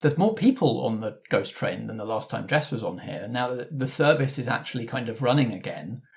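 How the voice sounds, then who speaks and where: Male game master, conversational storyteller, descriptive, with measured pauses between images. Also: medium pitch, lively intonation, gravelly. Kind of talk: narrating an improvised tabletop game.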